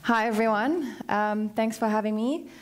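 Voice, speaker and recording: A woman's voice: fairly high pitch, unhurried. A woman in her thirties with a South African accent, giving a conference talk in a chatty, conversational way full of ums. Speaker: conference speaker, consultant. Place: large hotel conference hall, indoors.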